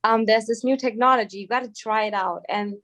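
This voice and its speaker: A woman with a German accent talks in a chatty, lively way about her fitness work. Her voice sits fairly high. She fills her talk with "like" and "you know.". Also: brisk. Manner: chatty, lots of "like" fillers. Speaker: EMS trainer, guest. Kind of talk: casual webinar talk.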